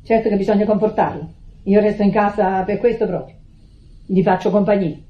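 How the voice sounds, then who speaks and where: A woman's voice: mid-range voice, steady tone, a little fast, echoey room, natural voice. An actress with a Neapolitan accent playing a defensive, righteous middle-aged sister in a radio drama, insistent and repeating her words. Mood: subdued, confiding. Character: defensive, wounded, righteous sister running the household. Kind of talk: stage-style Italian radio drama acting. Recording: muffled old radio drama recording.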